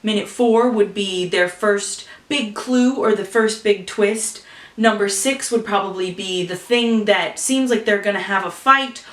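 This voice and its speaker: A woman's voice: medium pitch, measured pace, recorded from a distance. Playful chatty woman in an echoey room. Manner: playful, rambling, animated asides. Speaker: vlogger telling an improvised story. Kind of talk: casual chatty to-camera talk.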